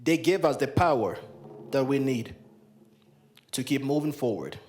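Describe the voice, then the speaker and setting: A man's voice: medium pitch, big pitch swings, a little slow, a little mellow. A young man from Ghana leading a libation ceremony in a large hall, speaking into a handheld mic with solemn, repeated invocations. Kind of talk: ceremonial address.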